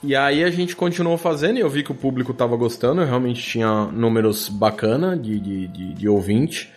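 Brazilian guy as podcast guest, mid-pitched voice, casual and sweary, plays himself down. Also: fairly quick, slightly rough, soft background music. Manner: informal, sweary, self-deprecating. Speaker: podcast guest, audio drama producer. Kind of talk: casual chat.

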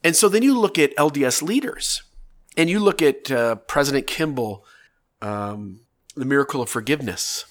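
A man's voice: mid-range voice, expressive, normal speed. A man with a slight cold, making an earnest case in casual talk, piling up points in long lists and checking in with "right?". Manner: earnest, building arguments in long lists, frequent rhetorical "right?". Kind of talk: casual talk to camera or podcast.

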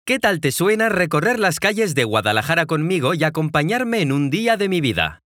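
A young Mexican man in his twenties reads a scripted learner's story in a clear, friendly voice that talks straight to the listener. His pitch sits a little high and rises and falls with plenty of life. The sound is dry and close, with no room around it. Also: very animated, brisk, a bit bright, no room sound. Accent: Mexican Spanish. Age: 20s. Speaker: podcast host. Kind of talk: scripted narration for language learners.

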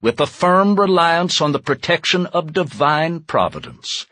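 A stately 18th-century American statesman, played by a man, gives a formal, solemn recitation. His husky voice sits fairly high and rises and falls widely. The delivery is deliberate, indignant and resolute, with weighted pauses in a dry recording. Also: big pitch swings, pushed and bright, full character voice. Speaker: historical interpreter. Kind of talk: formal dramatic recitation of a historical document.

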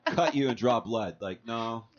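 A man's voice: mid-range voice, animated, unhurried, highs cut off. A man co-hosting a casual podcast, playful and often laughing as he talks. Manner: giggly, playful. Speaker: podcast co-host. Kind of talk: casual joking podcast chat.

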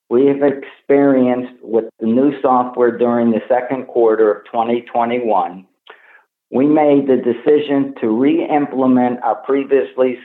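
Over a narrow, echoing phone line, a man delivers a scripted financial presentation. His pitch sits in the middle range, and he reads formally from prepared remarks in the role of a company executive. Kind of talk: scripted earnings call presentation. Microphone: telephone conference call. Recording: tinny phone line with echo.

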